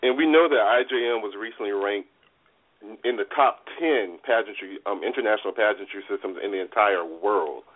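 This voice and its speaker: A man's voice: lowish voice, fairly quick. A man co-hosting a talk show, asking questions with eager, encouraging enthusiasm. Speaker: co-host. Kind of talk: casual radio talk show chat. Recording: tinny phone-like line.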